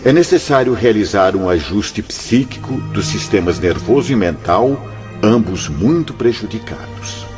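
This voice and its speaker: A low male Brazilian voice as a fatherly spirit elder in a radio drama. He is formal, serene and authoritative, with very expressive intonation. The sound is slightly dull, with music underneath. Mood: solemn, instructive. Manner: formal, solemn, measured, gently reassuring.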